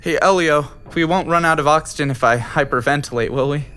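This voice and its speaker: A man's voice: on the high side, a little fast, fairly bright tone, smooth, soft background music, natural voice. A young man playing a nervous, mopey male spaceship passenger in an audio drama, hesitant and stammering. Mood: reluctant, worried. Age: young adult. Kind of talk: scripted audio drama acting.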